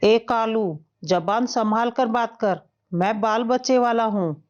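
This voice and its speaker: Woman voicing a self-important parrot village headman, lower-toned. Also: slow pace, lo-fi sound. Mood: indignant.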